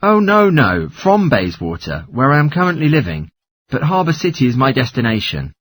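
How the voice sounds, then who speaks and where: A man's voice: medium pitch, wildly swooping pitch, moderate pace, a bit bright, no room sound. A man playing a polite caller asking for travel information in a scripted language-test dialogue. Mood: polite, inquiring.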